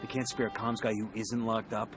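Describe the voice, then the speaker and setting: An actor playing a tough, dry, businesslike special forces soldier in a video game, clipped and commanding. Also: male voice, on the low side, level tone, a little fast, close to an everyday voice. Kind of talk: video game cutscene acting. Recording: music behind.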